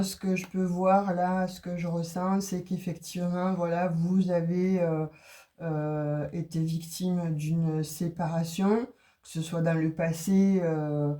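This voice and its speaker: A woman's voice: lowish voice, little pitch movement, slow pace, warm tone. Woman with a cold reading oracle cards. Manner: hesitant, many fillers and restarts. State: has a cold. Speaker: tarot and oracle card reader. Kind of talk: casual card reading for viewers.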